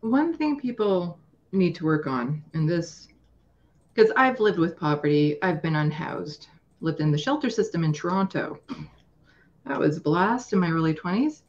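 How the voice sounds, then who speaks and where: A woman's voice: on the low side, very animated, moderate pace, highs cut off. A woman guest speaking earnestly and emphatically in a casual political discussion, often acting out imagined quotes.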